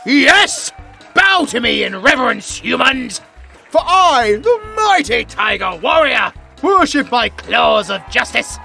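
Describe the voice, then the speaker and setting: A man's voice: very high-pitched, big pitch swings, slow, gravelly, pushed and bright, soft background music, heavily acted. A British man voicing a bratty, self-important tiger warrior in a comedic audio roleplay, haughty, demanding and full of theatrical exclamations. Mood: haughty proud.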